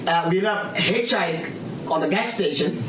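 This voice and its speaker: Halting man, far and echoey. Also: high-pitched. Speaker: guest. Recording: distant, echoey with clear background noise.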